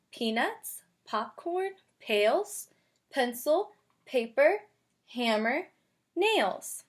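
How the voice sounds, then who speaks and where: A woman kindergarten teacher giving a read-aloud lesson, speaking simply and instructively and addressing young children directly. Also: mid-range voice. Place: bedroom at home.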